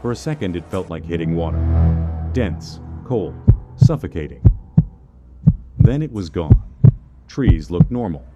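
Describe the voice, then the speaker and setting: A man narrating a scripted first-person horror story in a measured, suspenseful manner. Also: low-pitched, animated, loud music behind the voice.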